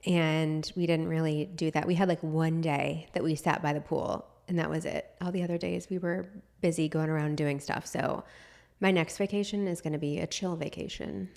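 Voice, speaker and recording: A woman in her thirties co-hosting a podcast, slightly hoarse with a froggy throat, chatting casually with frequent laughs and lots of "like". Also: sits low, fairly even intonation, moderate pace, a little mellow. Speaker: podcast co-host. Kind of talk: casual chat.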